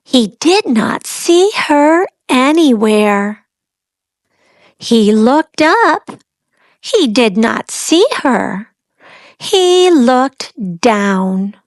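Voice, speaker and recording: A high-pitched woman narrates a storybook for young children. She takes it very slowly and deliberately, keeping phrases simple and speaking directly to her little listeners as their read-aloud host. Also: very animated.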